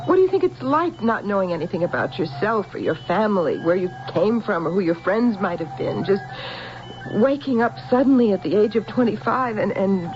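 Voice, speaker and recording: A woman's voice: mid-range voice, lively intonation, a little fast, dull audio, faint music behind, natural voice. An actress playing an anxious, devoted young woman with no memories in an old radio drama. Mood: anxious, questioning. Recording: noisy, band-limited vintage radio recording.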